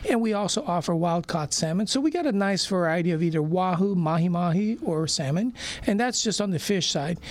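A man's voice: high-pitched, expressive, fairly quick. A middle-aged man raised in Brazil, a restaurant owner chatting casually as a radio interview guest, with lots of "you know" fillers.

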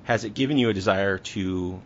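A man's voice: deep voice, moderate pace, dull audio, slight background noise. A man working as a podcast interviewer, asking questions in a conversational style.

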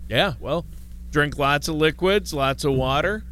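A man's voice: fairly high pitch, unhurried, a little hiss or hum. A man co-hosting a radio show in a studio, bantering and joking in a casual way. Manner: jokey, lively banter. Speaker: radio co-host.

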